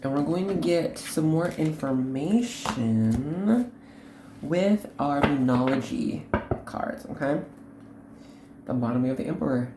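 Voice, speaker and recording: Female card reader, very deep and mellow, earnest and instructive, halting short phrases in a roomy space. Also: lively intonation, a little hiss or hum. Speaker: host, tarot card reader. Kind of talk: unscripted tarot reading addressed to the viewer.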